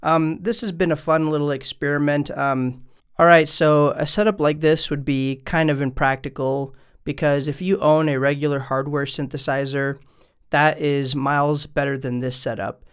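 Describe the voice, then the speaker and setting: A man with a fairly high, clean and smooth voice talks through a casual how-to video. He explains things step by step in an easygoing, conversational way, speaking straight to his viewers. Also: steady tone, moderate pace. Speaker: music gear video maker and presenter.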